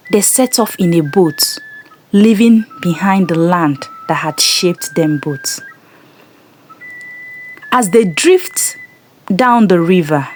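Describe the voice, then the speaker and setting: Female storyteller for scripted folktale narration: unhurried, measured, highly varied intonation. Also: slight background noise.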